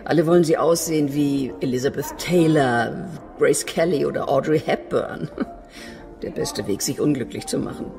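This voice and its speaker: A woman's voice: sits low, moderate pace, a little mellow, soft background music. A woman playing a worldly, wry, self-assured model agency boss in a German radio play, chatty and ironic.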